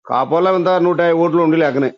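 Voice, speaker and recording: A man's voice: fairly high pitch, rapid-fire, dry recording. A man with a Rayalaseema Telugu accent speaking colloquially and emphatically on a phone call, complaining candidly in rural style. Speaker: local party leader being surveyed by phone. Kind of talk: candid phone conversation, airing grievances. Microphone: telephone. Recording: muffled lo-fi leaked phone call.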